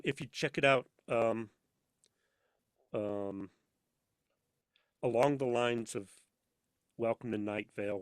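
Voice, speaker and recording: A man with a medium-pitched voice talks as a guest in a casual interview, recorded close and dry. He feels his way through sentences with pauses and rambles, then lands words with dramatic emphasis. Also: fairly bright tone, no room sound. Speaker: podcast guest, audio drama voice actor and sound editor.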